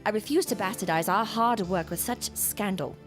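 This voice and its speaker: A woman's voice: mid-range voice, normal speed, faint music behind, lightly acted. An actress playing an earnest, exacting woman scientist in a gothic audio drama, speaking formally and precisely. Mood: firm. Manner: formal, precise, lecturing diction.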